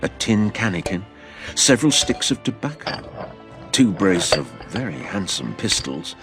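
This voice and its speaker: Man as a very deep-voiced, grave storyteller. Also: big pitch swings, over music. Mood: grave, suspenseful. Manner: measured, literary storytelling. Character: grown man gravely recounting his boyhood pirate adventure. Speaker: narrator. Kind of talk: scripted dramatic narration.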